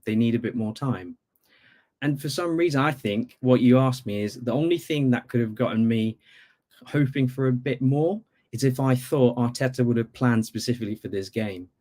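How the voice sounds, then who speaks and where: A man's voice: mid-range voice, normal speed, dark tone. A man from Bangladesh calling in to a podcast as a football fan, talking casually with many conversational asides. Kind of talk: casual sports chat.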